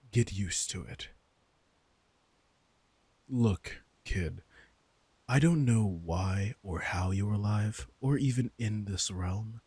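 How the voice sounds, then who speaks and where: A man's voice: on the low side, darkish tone, breathy edge, no room sound, heavily acted. A man playing a commanding, teasing vampire king in a roleplay audio drama, smug and casually menacing as he speaks straight to the listener.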